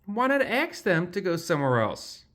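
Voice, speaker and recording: A man voicing a calm, matter-of-fact little girl pig from a picture book, obliging in manner. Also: sits high, normal speed. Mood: helpful.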